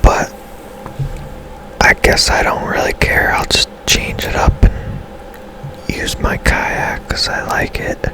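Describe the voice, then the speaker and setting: A man speaks entirely in a breathy, unvoiced whisper. He hosts ASMR gameplay with casual, halting commentary, taking his time at a very slow, unhurried pace. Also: low-quality recording. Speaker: gaming channel host.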